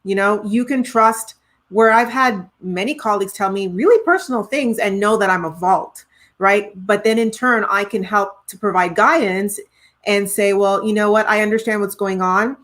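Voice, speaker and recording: A middle-aged woman with a Trinidadian accent talks through her ideas in an earnest, reflective way. She often restarts a phrase as she thinks. Her voice sits at an ordinary middle pitch for a woman. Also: moderate pace, a bit bright. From Trinidad and Tobago. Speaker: guest, professional singer. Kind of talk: conversational interview.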